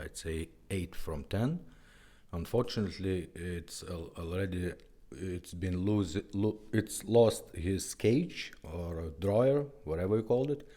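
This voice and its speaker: A man who speaks English as a second language, giving a casual, halting product demonstration in simple phrases. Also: low voice, mellow. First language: not English. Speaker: presenter demonstrating restored car radios.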